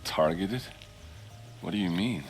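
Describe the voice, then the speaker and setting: A man's voice: sits low, animated, measured pace, soft background music. An actor playing a blunt, hot-tempered young male warrior captain in a video game cutscene. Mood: concerned.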